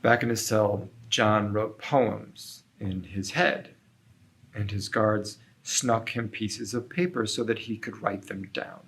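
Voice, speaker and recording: A man narrating a scripted children's story in an expressive, measured storytelling manner with deliberate pauses. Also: lowish voice. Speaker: storyteller reading aloud.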